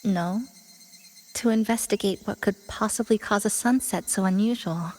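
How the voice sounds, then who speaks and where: An actress playing a composed young woman in a video game, speaking in a measured, reflective way. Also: mid-range voice, a little mellow, lightly acted, poor audio. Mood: wistful, musing. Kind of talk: video game cutscene voice acting.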